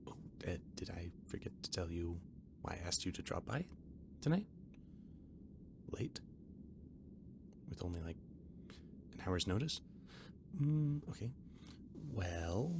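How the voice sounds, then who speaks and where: A man's voice: on the low side, vocal fry, exaggerated voice. A man playing a flustered, rambling catboy who cooks aboard a spaceship, hesitant and stammering, in an intimate roleplay audio drama. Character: flustered, rambling catboy ship's cook and mechanic, eager friend. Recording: noticeable background noise and ambience.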